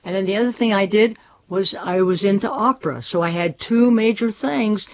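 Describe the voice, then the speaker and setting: A woman's voice: mid-range voice, moderate pace. An older woman speaking proudly and emphatically in an archive interview. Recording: dull archive recording.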